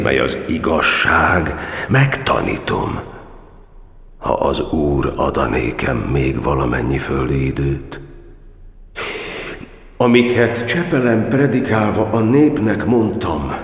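An old exiled cardinal in a radio drama, with an extremely deep, badly husky voice. He declaims solemn verse with anguish and unbending faith, moving between impassioned oratory and breathy murmurs. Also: heavily acted. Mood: resolute, reflective. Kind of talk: theatrical radio-play monologue in solemn verse.

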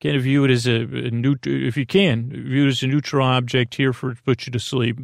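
Guy with a really creaky gravelly voice, rambly goofy bedtime podcast talk, keeps cutting himself off, dry close sound. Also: mid-range voice, level tone, no room sound. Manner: meandering, halting, self-interrupting, goofy. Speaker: sleep podcast host.

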